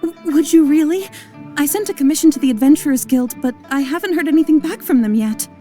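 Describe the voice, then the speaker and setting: A woman's voice: high voice, fast talker, mellow, faint music behind, natural voice. A woman voicing an anxious young merchant woman in an anime game, hesitant and plaintive. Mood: flustered.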